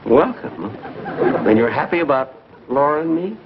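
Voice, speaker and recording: An actor playing a thrifty, put-upon fiancé with a dry, exasperated manner in 1950s broadcast comedy style. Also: male voice, fairly high pitch, expressive, moderate pace. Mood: bewildered, touched. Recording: hissy, muffled 1950s broadcast recording.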